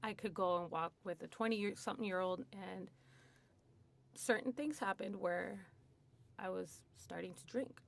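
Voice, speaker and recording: A woman's voice: medium pitch, a little slow, vocal fry. A woman from Hollywood, a guest in a radio studio, telling her story candidly and casually, with lots of "like" and "you know.". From Hollywood, USA. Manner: chatty, lots of "like" and "you know", laughs often. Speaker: guest in recovery.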